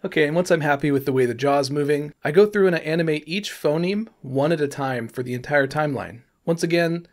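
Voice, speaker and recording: A man's voice: fairly high pitch, fairly quick, few pauses. A man narrating a tutorial in a casual, informal, explanatory way. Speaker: 3D animation tutorial presenter.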